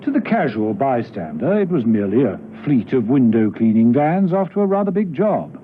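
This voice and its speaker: A man's voice: mid-range voice, sing-song, moderate pace. A man narrating a spy radio drama in a dramatic, scripted storytelling style. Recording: tinny, noisy old radio broadcast.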